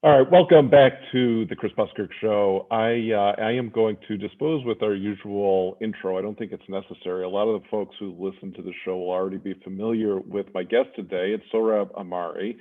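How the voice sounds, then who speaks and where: Male radio host, casual and meandering, low pitch, phone-quality sound. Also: fairly even intonation, brisk, hardly any pauses. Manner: rambling, self-correcting, many fillers. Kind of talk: casual interview. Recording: tinny phone-like line.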